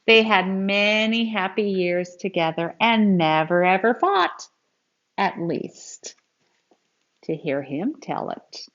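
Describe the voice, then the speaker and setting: Slow-paced woman reading to children. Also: mid-range voice, animated, a bit bright, dull audio. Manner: simple explanatory asides addressed to children. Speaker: storyteller reading a picture book aloud to children. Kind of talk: expressive read-aloud to young children.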